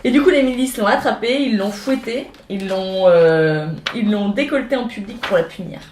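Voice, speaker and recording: A woman co-hosting a casual discussion show, explaining facts in a halting way with many fillers. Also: medium pitch, darkish tone, slight background noise. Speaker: podcast co-host. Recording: echoey room.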